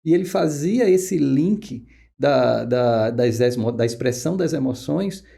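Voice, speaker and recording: A man with a northeastern Brazilian accent from Pernambuco, explaining things conversationally as a podcast guest and pausing to search for words. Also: medium pitch, lively intonation, creaky, warm tone. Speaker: guest expert, oncologist.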